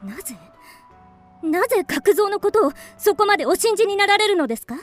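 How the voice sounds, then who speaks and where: A devoted young maiden in a period radio drama, fretful and pleading. Her voice is extremely high and swings widely in pitch, with music behind it. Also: animated, fairly quick. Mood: anxious, pleading.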